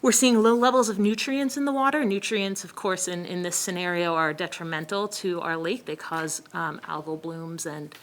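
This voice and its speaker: A woman's voice: fairly low pitch, steady tone, normal speed, hardly any pauses. A woman presenting a city lake monitoring update at a public meeting, explaining steadily with frequent ums. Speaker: city environmental analyst giving an update.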